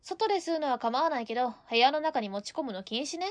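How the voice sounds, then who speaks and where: A woman acting a high-voiced, feisty teenage girl. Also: animated, fast, dry recording. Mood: bossy. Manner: scolding, bossy, cheeky. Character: strict, feisty eighteen-year-old landlady girl, exasperated by lodgers. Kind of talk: Japanese radio drama acting.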